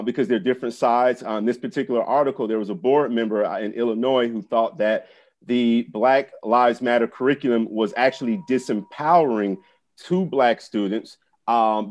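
A man co-hosting an education podcast, talking in a halting way with many fillers and restarts. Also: mid-range voice, darkish tone. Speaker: podcast co-host.

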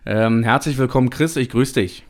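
A man's voice: mid-range voice, normal speed. A man hosting a podcast, talking casually and at length with his guest, with many small hesitations. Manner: chatty, many fillers and restarts. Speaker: podcast host. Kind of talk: casual chat.

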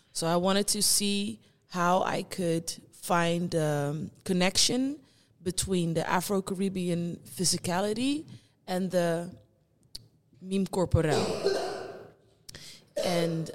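A young woman speaking slowly and thoughtfully in a fairly low voice, pausing often as she searches for words. She is a guest in a conversation. Her voice carries a long hall reverb. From Netherlands. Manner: thoughtful, searching for words, many pauses and fillers. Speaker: guest performing artist. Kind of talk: reflective conversation.

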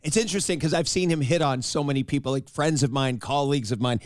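A man hosting an interview show, chatty and self-deprecating, his voice raspy from a cold. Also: fairly high pitch, fast, dry recording, studio quality. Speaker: host. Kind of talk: casual interview and narration.

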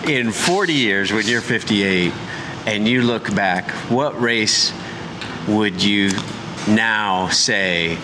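A man's voice: lowish voice, slow. A man in his forties hosting a casual podcast interview in a bike shop, chatty and joking. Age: 40s. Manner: chatty, joking, asks loose questions. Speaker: podcast host. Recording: noisy shop background. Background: shop noise, people working on bikes.

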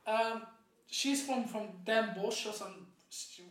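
A podcast co-host of unclear sex chatting casually over beer in a halting, repetitive, joking way. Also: mid-range voice, fairly bright tone. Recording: echoey room, distant from microphone.

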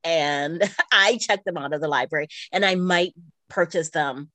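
Lower-pitched woman podcaster in a dry space. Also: a little slow, pushed and bright, no echo. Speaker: podcast co-host. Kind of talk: casual chat, recommending books.

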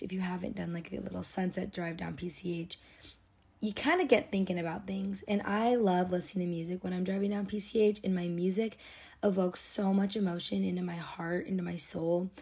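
A woman's voice: on the low side, dark tone. A woman, hosting her own podcast in a rambling, confessional chat full of fillers. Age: 20s. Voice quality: raspy. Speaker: podcast host. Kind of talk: casual solo podcast monologue.

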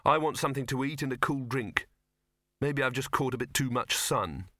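A man's voice: mid-range voice, normal speed, sharp tone, no room sound, studio quality, natural voice. A man voicing a firm, easy-going young male actor in a storybook reading. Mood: firm, unbothered.

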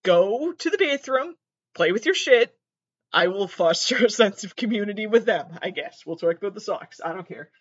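High-voiced North American man, lo-fi podcast. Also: animated, normal speed, slightly rough. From Massachusetts, United States. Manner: self-deprecating, profane, digressive. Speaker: podcast host. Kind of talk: casual comedic solo rambling. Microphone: built-in laptop microphone. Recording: dull lo-fi laptop microphone.

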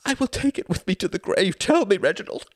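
A man voicing a frightened, pleading older mother of grown sons in an audiobook, with a breathy, catching, tremulous voice. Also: high voice, lively intonation, fairly quick, no echo, studio quality, voice pushed up. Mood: earnest promising.

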